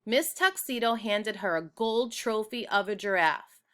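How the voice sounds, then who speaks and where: A woman's voice: mid-range voice, a little slow, a bit bright. A woman reading a children's storybook aloud expressively, as if showing it to young listeners. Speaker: read-aloud narrator for children.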